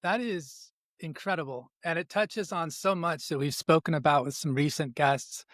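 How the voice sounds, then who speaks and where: A man's voice: a little high, moderate pace, a bit bright, dry recording. A man hosting a podcast, asking curious, rambling questions with many fillers. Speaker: podcast host. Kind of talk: casual podcast interview.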